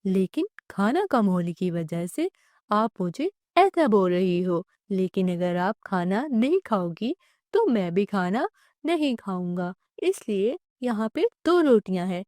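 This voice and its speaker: A woman's voice: medium pitch, very animated, slow, darkish tone, no room sound, clean studio sound. A woman voicing a caring, earnest little brother in a cartoon moral story, childlike and pleading. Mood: insistent, caring.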